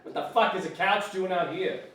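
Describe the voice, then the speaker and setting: Man acting frantic high-pitched fisherman, very echoey. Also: brisk. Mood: frantic, incredulous. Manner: incredulous, repeats questions. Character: frantic, baffled foul-mouthed male fisherman. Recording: very echoey room, distant mic, loud noise.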